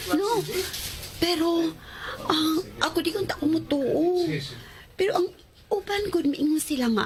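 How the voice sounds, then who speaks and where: Young cebuano college girl in a radio drama, kinda high voice, chatty, shy and halting, gossipy. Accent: Cebuano Filipino. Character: Kim, chatty, hesitant young woman, a graduating student.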